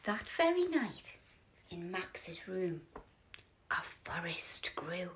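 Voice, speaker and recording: Animated, slow-paced woman storyteller. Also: fairly low pitch, slight background noise. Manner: theatrical, drawn-out delivery with breathy whispers and gasps. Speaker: children's storyteller. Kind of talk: expressive bedtime picture-book reading.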